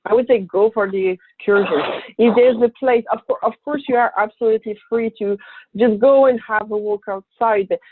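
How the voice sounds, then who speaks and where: An upbeat woman speaks for a cruise line on a video call. She sounds enthusiastic, and her voice sits a little high. The audio is dry and narrow like a phone line. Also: brisk, dry recording. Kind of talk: casual webinar talk.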